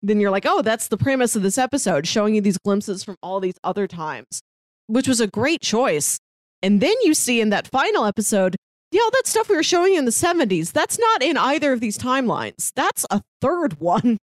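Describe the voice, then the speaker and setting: A woman's voice: on the high side, big pitch swings, brisk, no echo. A woman co-hosting a podcast, chatting casually about a TV series and acting out her reactions as she retells it. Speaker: podcast co-host. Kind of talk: casual chat discussing a TV series.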